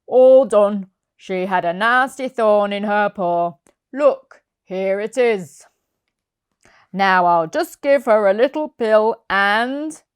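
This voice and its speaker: A brisk storybook vet, a matter-of-fact man, acted out by a woman. He speaks emphatically and with authority, in a lively delivery. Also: mid-range voice, clean tone. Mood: pleased. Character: brisk male vet, matter-of-fact, excitable when alarmed.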